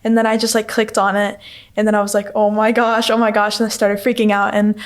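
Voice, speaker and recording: A young woman around twenty from Mexico, an international student, telling a story in a relaxed chatty way. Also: mid-range voice, fairly quick. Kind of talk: casual personal storytelling.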